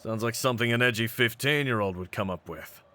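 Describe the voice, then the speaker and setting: A man voicing a cocky, brawny pit-fighting champion in a roleplay audio, with a deep voice and streetwise, mocking swagger. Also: a little fast, bright, forceful tone, natural voice. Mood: mocking, assured. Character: cocky, brawny half-breed pit-fighting champion, hyping his partner. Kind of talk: scripted in-character roleplay audio.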